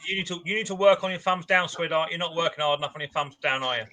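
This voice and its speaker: Guy hosting a live chat, teasing folks, voice a bit high. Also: rapid-fire, heavy background noise. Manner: chatty, teasing, banter-heavy. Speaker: livestream host. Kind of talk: casual livestream chat.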